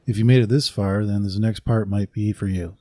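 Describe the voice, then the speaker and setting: A man's voice: lowish voice, fairly even intonation, fairly quick, dark tone, a little hiss or hum. A man giving a conversational podcast announcement as host and producer.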